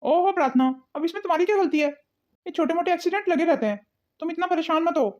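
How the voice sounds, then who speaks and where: A woman voicing a calm, reassuring young husband in a Hindi cartoon family drama, with dull, muffled sound. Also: very high voice, fast.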